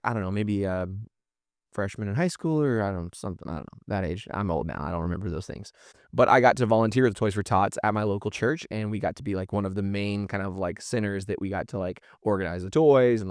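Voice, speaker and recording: A man's voice: fairly low pitch, fast, smooth, no room sound, clean studio sound. A man in his thirties, a podcast guest telling stories casually and informally, with "like" peppered through his talk. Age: 30s. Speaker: podcast guest, marketing business owner. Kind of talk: casual conversational storytelling.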